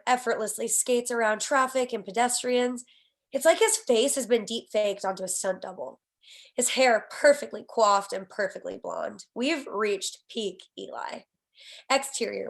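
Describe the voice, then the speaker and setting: A woman narrates a scripted table read. She reads scene headings and action lines in a voice pitched a little high. The delivery is that of a screenplay narrator. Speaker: stage directions narrator.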